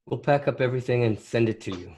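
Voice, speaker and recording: A man playing a brisk, businesslike federal agent in an audio drama. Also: medium pitch, darkish tone, natural voice. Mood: brisk, firm.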